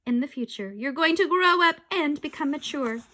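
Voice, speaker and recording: A woman's voice: high voice, lively intonation, normal speed, bright, forceful tone, voice pushed up. A woman voicing a smug, bossy young girl ghost in a storybook reading. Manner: smug, teasing.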